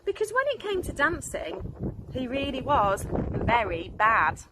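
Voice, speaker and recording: A woman's voice: high-pitched, wildly swooping pitch, measured pace. A woman, a primary school teacher, reading a children's story expressively. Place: outdoors in a garden. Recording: outdoor recording with faint background noise.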